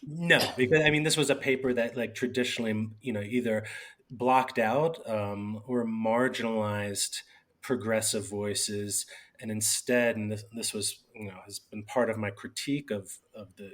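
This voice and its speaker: A man's voice: on the low side, steady tone, moderate pace, fairly bright tone. A middle-aged man from Pennsylvania giving a rambling account of his journalism career in an interview, full of fillers and restarts. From Bucks County, Pennsylvania. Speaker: newspaper editor, guest. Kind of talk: casual interview talk.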